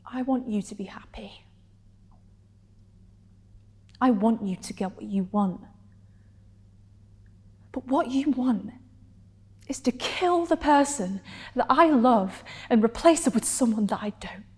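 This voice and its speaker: Actress playing wounded, defiant woman confronting a partner onstage. Lightly high, intense, halting, reverberant space. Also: a little mellow. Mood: pained, resolute. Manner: intense, halting, drops to breathy whispers. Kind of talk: dramatic stage monologue.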